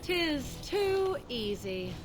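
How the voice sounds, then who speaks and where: A woman's voice: sits high, sing-song, extremely slow, loud music behind the voice, heavily acted. A woman playing a haughty, sardonic young witch companion in a fantasy video game. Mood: haughty, dismissive.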